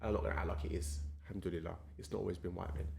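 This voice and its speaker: A man's voice: deep voice, level tone, very fast, creaky, dark tone, a little hiss or hum. A young man from London with a Cockney accent, talking candidly as a podcast guest and often checking that his listener follows. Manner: earnest, keeps checking in with the listener. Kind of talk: candid casual conversation.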